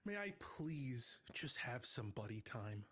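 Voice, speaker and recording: A man's voice: medium pitch, very animated, slow, fairly bright tone, husky, full character voice. A man playing a naive, downcast grown man raised by elves, in a live table read of a comedy film.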